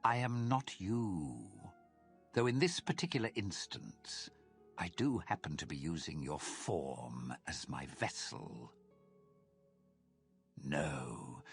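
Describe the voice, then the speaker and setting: A sinister spirit of cursed armour from a fantasy game. The voice is very low and slow, with phrases that swoop and linger. It is formal, suave and theatrical, full of courtly menace. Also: male voice, vocal fry, a bit bright, exaggerated voice. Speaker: video game character in a fantasy mod.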